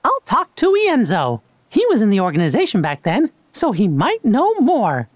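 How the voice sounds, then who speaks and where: A man's voice: sits high, huge pitch swings, moderate pace, no echo, exaggerated voice. A man voicing a small, kindly cartoon king who talks in a folksy, reassuring way in a video game.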